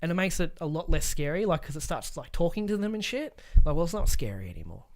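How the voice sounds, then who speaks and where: Male podcast host, somewhat higher-pitched. Casual, blunt, freely swearing film talk. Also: big pitch swings, fast. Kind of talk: casual chat about films.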